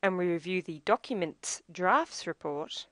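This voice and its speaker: Low-voiced woman narrating a software demo. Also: lively intonation, a little slow, no room sound, studio quality. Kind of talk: scripted narration of a software tutorial.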